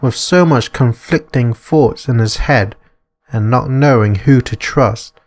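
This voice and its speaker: A man's voice: medium pitch, unhurried. A man reading scripted narration for a visual novel as its narrator. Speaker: narrator and author. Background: music.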